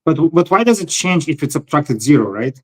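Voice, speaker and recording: A man's voice: fairly high pitch, very animated, brisk, darkish tone. A man hosting a casual technical discussion, thinking aloud tentatively and repeating words as he goes. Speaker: host.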